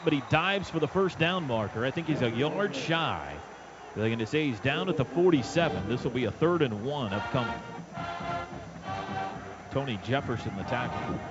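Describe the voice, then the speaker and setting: A man giving live radio play-by-play of a football game in clipped, urgent phrases. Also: on the high side. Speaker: play-by-play announcer. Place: stadium radio booth. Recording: dull, noisy stadium radio broadcast.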